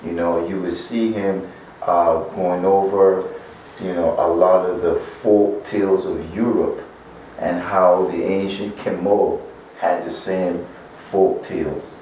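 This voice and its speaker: A man's voice: low-pitched, fairly even intonation, slow pace, mellow. A man from Barbados, an author answering interview questions at length, with many "you know" fillers. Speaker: author and guest. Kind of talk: interview answers, spiritual teaching. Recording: echoey far-off room, faint noise.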